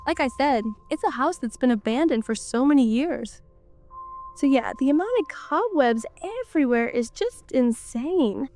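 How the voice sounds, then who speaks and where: A woman's voice: high-pitched, animated, normal speed, a little mellow, faint music behind. A woman with a stuffy nose from a cold, narrating her walk through an abandoned house in a chatty way and often exclaiming in amazement. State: congested from a cold. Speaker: urban explorer vlogger. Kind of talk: casual guided tour narration.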